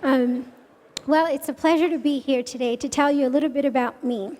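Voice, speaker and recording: A young woman from India, with a small soft voice, giving a halting, emotional church testimony in a large auditorium. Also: high voice, steady tone. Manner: halting, emotional, many restarts. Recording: long reverb of a large hall.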